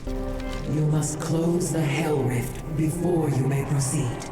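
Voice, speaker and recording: Echoing, low-voiced serene archangel. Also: female voice, takes it slowly. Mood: serene, commanding. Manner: stately, solemn, formal. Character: serene, noble female archangel of hope. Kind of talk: dramatic video game acting. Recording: reverberant, distant, music behind.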